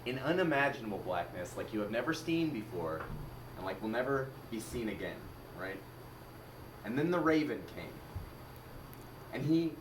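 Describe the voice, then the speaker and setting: A man telling a folktale aloud as an amateur storyteller, in a dramatic, deliberate manner, recorded in an echoey space. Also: mid-range voice. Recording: echoey, distant mic, faint noise.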